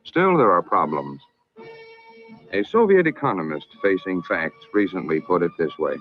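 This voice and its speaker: An American man narrating a scripted 1960s public information film in a formal, measured, emphatic manner. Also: deep voice, big pitch swings. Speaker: documentary narrator. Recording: narrow-band vintage film soundtrack, music behind.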